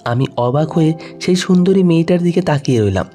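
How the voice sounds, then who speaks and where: A man's voice: a little high, lively intonation, fairly quick, dark tone. A man reading a scripted emotional story in the first person as a dramatic narrator. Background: faint music.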